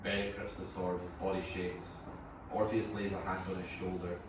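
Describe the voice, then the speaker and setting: Male narrator for a table read: very low voice, scripted stage directions, heavy echo and background noise. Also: level tone, moderate pace. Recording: very echoey room, far mic, clear noise.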